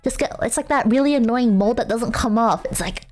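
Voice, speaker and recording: A woman's voice: on the high side, a little fast, darkish tone. A young woman streaming a casual chat with viewers, rambling and self-mocking with lots of fillers and frequent laughter. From Australia.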